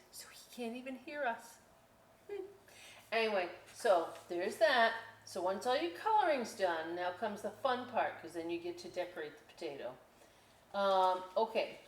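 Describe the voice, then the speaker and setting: Chatty lady doing storytime n crafts for kids, expressive, mid pitch, really echoey. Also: a little hiss or hum. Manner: chatty, expressive, addresses children directly. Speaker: library storytime host. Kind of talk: children's storytime and craft talk. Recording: echoey room.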